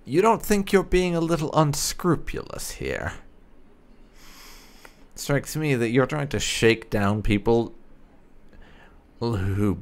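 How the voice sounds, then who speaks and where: A man's voice: mid-range voice, very animated, takes it slowly, pauses often. A man giving casual, wry commentary on his video game playthrough, often half talking to himself. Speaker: gaming video host.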